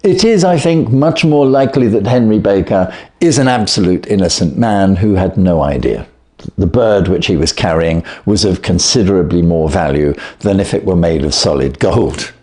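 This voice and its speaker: A man reading the part of a brisk, clever, self-assured Victorian detective in an audiobook, with precise, commanding, theatrical delivery. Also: low voice, lively intonation, dark tone. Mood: assured, genial.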